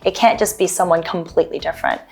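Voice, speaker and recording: A woman's voice: fairly low pitch, quick pace, creaky, soft background music. A woman from Toronto, an actor chatting loosely as a guest, giving advice with many ums and restarts. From Toronto, Canada. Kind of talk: casual interview advice.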